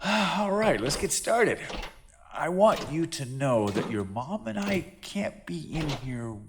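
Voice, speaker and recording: A friendly doctor in an audio drama. He speaks slowly with big swings in pitch, gentle and reassuring as to a child. Faint noise sits behind him. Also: big pitch swings, exaggerated voice. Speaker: doctor character.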